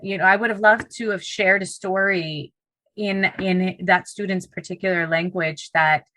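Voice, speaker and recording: A woman's voice: medium pitch, steady tone, normal speed, bright, forceful tone, dry recording, rough recording. A woman whose first language is Punjabi, a teacher talking earnestly in a podcast interview, often restarting her sentences. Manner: earnest, with frequent restarts and fillers. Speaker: teacher and co-author.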